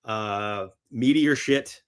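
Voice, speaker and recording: A man as a podcast guest chatting casually and enthusiastically about films, telling stories in an animated, emphatic way. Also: fairly low pitch, very slow, clean tone. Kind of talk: enthusiastic casual film chat.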